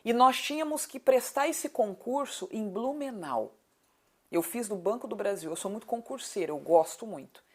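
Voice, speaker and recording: A woman in her late thirties with a southern Brazilian accent and a mid-pitched voice. She speaks conversationally as an interview guest. She makes her points emphatically, repeating them and posing rhetorical questions. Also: expressive, moderate pace, a little mellow. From Paraná, southern Brazil. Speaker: city council candidate, interview guest.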